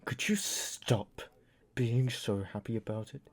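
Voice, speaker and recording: A man's voice: mid-range voice, a little slow. A man playing a young groom, sulky and resentful toward his father. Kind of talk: fan audio drama acting.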